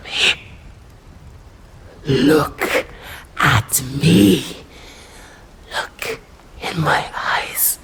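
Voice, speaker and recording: Woman whispering as a slow, stern English vampire. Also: deep voice, echoey room, a little hiss or hum, heavily acted. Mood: commanding. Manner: slow hushed whispering, formal old-fashioned phrasing. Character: stern, hungry yet protective female vampire. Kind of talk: ASMR voice roleplay.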